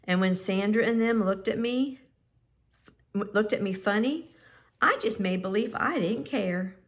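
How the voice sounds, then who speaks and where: A woman reading a children's picture book aloud in measured, expressive phrases with pauses. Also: medium pitch. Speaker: storybook reader.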